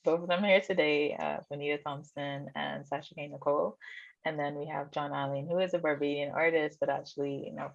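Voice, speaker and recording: Low, gravelly-voiced woman curator hosting. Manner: friendly, filler-heavy, with frequent ums. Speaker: curator and host. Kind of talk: moderating an artist talk. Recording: dry, slightly dull video-call audio.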